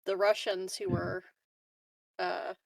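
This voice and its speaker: A woman's voice: on the low side, flat delivery, slow pace, gravelly, no echo, rough recording. A woman playing a tabletop roleplaying game, chatting casually with the group.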